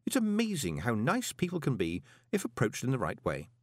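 A man's voice: medium pitch, wildly swooping pitch, fairly quick, a little mellow, no room sound, studio quality. A man voicing a polite, confident young conman postmaster with a glib, persuasive manner in an audiobook. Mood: breezy, glib. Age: young adult.

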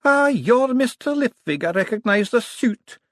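A man's voice: extremely high pitch, lively intonation, a little slow, a bit bright, dry recording, studio quality, voice pushed up, exaggerated voice. A man voicing an elderly wizard academic in an audiobook, with a fussy, courteous, conspiratorial manner. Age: older.

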